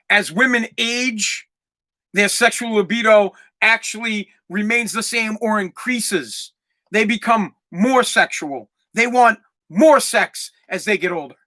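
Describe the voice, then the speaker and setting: A man in his forties hosting a comedic solo podcast, ranting in a profane, rambling way with lots of stammered restarts and bursts of shouting. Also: high voice, animated, moderate pace. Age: 40s. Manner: exaggerated, swings into loud shouting. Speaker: podcast host.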